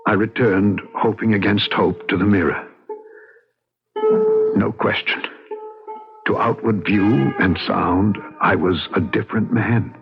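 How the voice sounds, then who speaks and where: An actor playing a frightened, desperate husband who narrates his own horror story in a dramatic radio-drama style. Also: on the low side. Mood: grave. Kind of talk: dramatic first-person radio drama acting. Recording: dull, noisy old radio recording.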